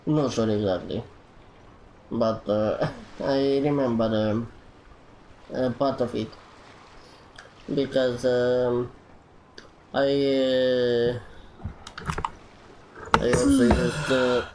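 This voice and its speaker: Very slow, halting male game streamer. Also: mid-range voice, steady tone, darkish tone, slight background noise, poor audio. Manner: halting, rambling. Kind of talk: casual livestream chat.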